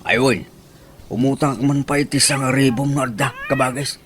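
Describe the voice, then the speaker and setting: Male actor as humble, easygoing older villager, mid-pitched, Ilocano accent, radio comedy, light noise behind. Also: fairly even intonation, normal speed, a bit bright, lightly acted. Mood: casual, sheepish.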